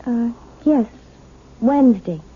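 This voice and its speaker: A wounded quiet wife, highish breathy voice, old radio play, thin phone-like sound. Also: female voice, animated, takes it slowly, heavily acted. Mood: entranced. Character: weary, wounded, coolly reserved wife. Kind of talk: 1970s radio drama acting.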